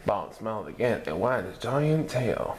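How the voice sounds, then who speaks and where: Animated low-voiced man reading a storybook. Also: measured pace, background noise. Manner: animated, putting on higher voices for characters. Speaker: story reader. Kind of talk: reading a children's picture book aloud.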